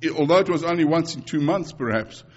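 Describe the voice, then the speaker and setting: A man's voice: on the high side, expressive, quick pace, dull audio. A man in his fifties from South Africa with a South African accent, Afrikaans as his first language, preaching earnestly to a congregation with repeated phrases and frequent restarts. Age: 50s. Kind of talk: sermon and mission report.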